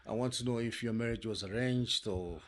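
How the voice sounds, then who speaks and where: A man hosting an interview, asking short prompting questions and agreeing quickly. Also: mid-range voice. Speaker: host. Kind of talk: casual interview chat.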